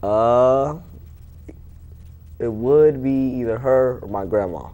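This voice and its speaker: A man's voice: medium pitch, slow, dark tone. A young man giving casual interview answers as an athlete, plain-spoken and informal. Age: young adult. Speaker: wrestler being interviewed.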